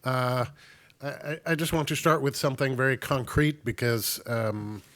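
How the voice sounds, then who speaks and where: A man with a hoarse voice from a cold, speaking as a panelist with earnest, stammering restarts that build into emphatic stretches. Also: mid-range voice, moderate pace, a bit bright. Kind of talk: panel discussion remarks.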